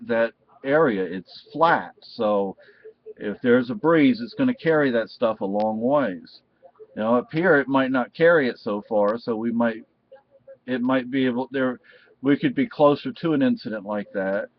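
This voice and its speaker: A man's voice: mid-range voice, lo-fi sound. A middle-aged American man talking casually off the cuff, rambling and often restarting his sentences. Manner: rambling, halting with repeated restarts. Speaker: video reviewer. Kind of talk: casual unscripted talk.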